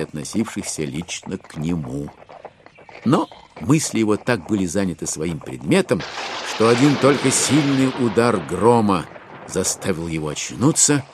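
A man narrating classic literature as a storyteller in an expressive, dramatic audio production. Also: low-pitched, slight background noise. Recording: music behind narration. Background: music.

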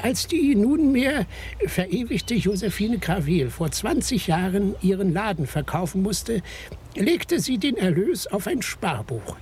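Stiff, animated elderly male notary. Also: sits high, measured pace, slight background noise. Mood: formal, businesslike. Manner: formal, pompous, officious. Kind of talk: German radio play acting.

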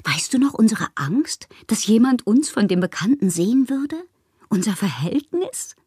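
An actress in a radio drama playing a lonely, whimsical bohemian woman writer, intimate and wistful, with a husky, breathy voice that often drops to a whisper. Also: a little high, sing-song, moderate pace, mellow, no echo, clean studio sound, heavily acted. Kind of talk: literary radio monologue.

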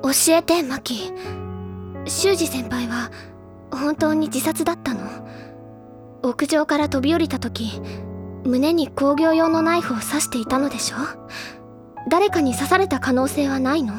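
A woman's voice: sits high, a little slow, pauses often, music in the background. An actress playing a proud, childish, easily flustered teenage schoolgirl in a Japanese audio drama. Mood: serious. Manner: pouty, stammering when flustered.

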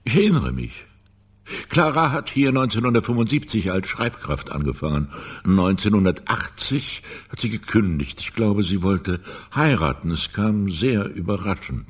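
An actor playing a busy office boss in a radio crime drama, husky, brisk and guarded. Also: male voice, fairly low pitch, very animated.

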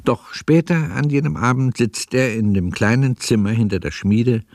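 An actor as the storyteller of a radio play, narrating scenes in a measured, sober, literary way. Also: male voice, medium pitch, a little mellow, dry recording, clean studio sound, natural voice. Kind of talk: scripted narration.

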